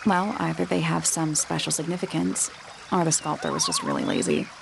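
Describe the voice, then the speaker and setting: A woman playing a blunt, observant detective's sidekick with deadpan, laconic delivery in a mystery adventure game. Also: sits low, fairly quick, creaky, noisy background, exaggerated voice. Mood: deadpan, teasing. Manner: deadpan, laconic, matter-of-fact.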